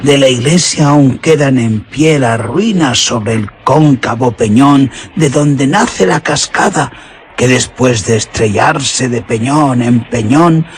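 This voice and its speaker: A man's voice: medium pitch, slight background noise, natural voice. A man playing a rustic herdsman who tells an old legend in a mysterious, suspenseful radio drama manner. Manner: mysterious, drawn-out, suspenseful storytelling.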